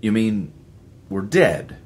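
A man's voice: on the low side, very animated, slow, a little mellow, a little hiss or hum, voice pushed up. A man reading as a bitter, desperate man. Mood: bitter, anxious. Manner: bitter, intense.

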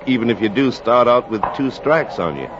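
An actor playing a cautious, matter-of-fact baseball scout in a 1940s radio drama. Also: male voice, medium pitch, expressive, a little fast, heavy background noise, natural voice. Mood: frank. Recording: muffled old radio, crowd behind.

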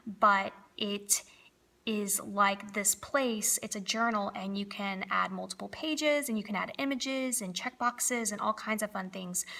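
A woman's voice: mid-range voice, gravelly, bright, forceful tone. A young woman with a slightly froggy voice, chatting upbeat as she narrates her planner decorating. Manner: chatty, upbeat, lots of fillers. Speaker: planner crafting vlogger. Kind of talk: casual voice-over plan with me.

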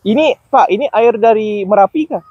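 Husky Malaysian man touring rice fields. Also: sits high, normal speed, dry recording, muffled recording. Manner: curious, questioning, repeats answers back. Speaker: vlogger. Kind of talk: casual walking vlog chat. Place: outdoors in rice paddy fields. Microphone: body-worn action camera.

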